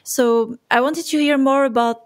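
A woman with a fairly high voice hosting an interview. She asks long, considered questions with small pauses. The sound is dry, with no echo. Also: fairly even intonation. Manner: attentive, thoughtful, asks long questions with fillers. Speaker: podcast host, interviewer.

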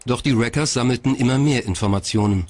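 A man's voice: medium pitch, quick pace, a little mellow, no room sound. A man reading scripted documentary narration. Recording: clear background noise.

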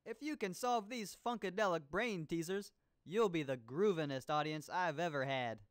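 An actor voicing an exuberant, jive-talking male disco cooking show host in an educational video game, with showy drawn-out exclamations. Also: a little high, natural voice. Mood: exuberant, groovy. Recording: disco music behind the voice.

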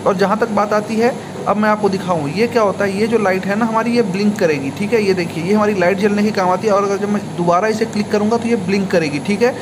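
High-pitched man over background noise. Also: steady tone, fast talker. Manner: repeats a checking tag after explanations. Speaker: video tutorial host. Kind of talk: informal spoken tutorial. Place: indoors at a table. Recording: noisy room, clear background hum.

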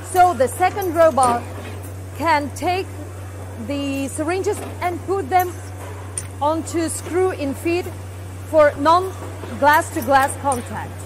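High-voiced woman giving a factory tour. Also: a little hiss or hum, rough recording. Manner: explanatory, with frequent fillers and restarts. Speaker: company presenter. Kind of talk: guided equipment tour. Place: large indoor factory production floor. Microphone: lapel mic.

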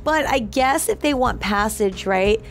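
A woman's voice: on the high side, animated, unhurried, soft background music. A woman co-hosting a reaction show in chatty, slangy style, acting out imagined lines as she goes. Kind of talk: casual reaction chat.

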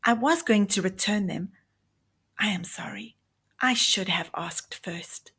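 A woman's voice: medium pitch, unhurried, gravelly, pushed and bright, husky, heavily acted. A woman reading the part of a nervous, contrite young schoolboy carefully owning up in a children's storybook.

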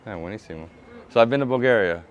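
A man's voice: lowish voice, fast, a crowd in the background. Man, 30s, travel vlog host, lapel mic outdoors, talkative and upbeat, switches languages. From United States. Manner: chatty, excited, switches between languages. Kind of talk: casual travel vlog chat. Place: outdoors on a bridge and city streets.

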